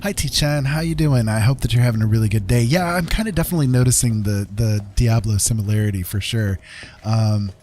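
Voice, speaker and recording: A man's voice: lowish voice, fairly quick, a little mellow. A middle-aged man livestreaming a game, chatting casually in a friendly, musing, polite way. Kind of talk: casual chat while gaming.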